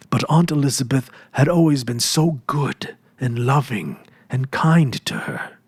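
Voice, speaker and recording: A man narrating a classic story as a measured, dramatic reading. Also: mid-range voice, slightly rough, no echo.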